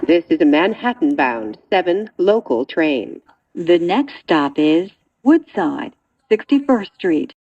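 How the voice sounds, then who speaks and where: A woman reading scripted train announcements in a measured, segmented way. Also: fairly low pitch, very animated, a little mellow, breathy edge, dull audio.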